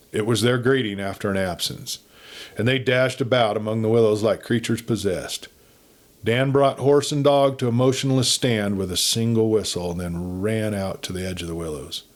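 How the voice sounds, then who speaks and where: A man with a fairly low voice narrates a western adventure story. He reads as an audiobook storyteller, giving the prose a dramatic delivery.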